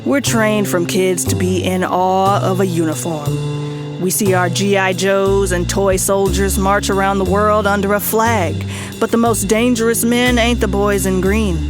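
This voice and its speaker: Hard-boiled private eye, played by a man: terse, slangy, wry radio-noir delivery over prominent music. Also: sits high. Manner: slangy, terse, brooding tough-guy delivery. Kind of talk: 1940s detective radio drama acting.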